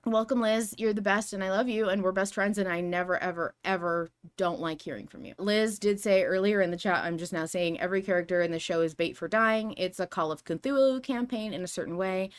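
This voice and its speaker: A woman hosting a casual fan discussion podcast, talking in an animated, rambling, emphatic way. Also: on the low side, clear, resonant tone. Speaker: podcast host.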